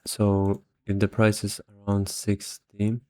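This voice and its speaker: Tired guy doing a hesitant think-aloud investing tutorial, flat delivery, very dry close sound. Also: fairly low pitch, slow pace, no echo. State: somewhat tired. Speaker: investor explaining stock valuation. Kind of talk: casual think-aloud screen walkthrough.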